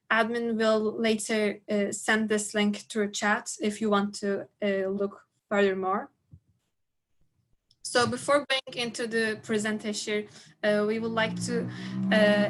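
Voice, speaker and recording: A sixteen-year-old girl from Turkey, a native Turkish speaker, presenting an online workshop in halting speech with fillers. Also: a little high, fairly bright tone. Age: teens.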